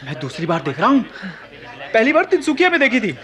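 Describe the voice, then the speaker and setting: A man's voice: very high voice, wildly swooping pitch, quick pace, heavily acted. A man acting a chatty, impatient moviegoer in a radio play, brash and familiar. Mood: chummy, curious. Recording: loud crowd noise behind. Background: busy cinema ticket crowd.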